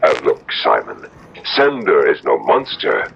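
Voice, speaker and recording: A fatherly old rabbi with a very low, hugely expressive voice. He admonishes with grave affection in a theatrical radio drama style. The old broadcast recording is dull. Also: measured pace, creaky, slight background noise, exaggerated voice. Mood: gentle, reasoning. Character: old paternal rabbi, gravely cautioning and fond. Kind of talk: 1970s radio drama acting.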